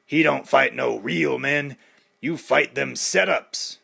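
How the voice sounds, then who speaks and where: A man voicing a loud, menacing young male boxer who taunts and boasts about himself. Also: mid-range voice, takes it slowly, gravelly, breathy edge, full character voice.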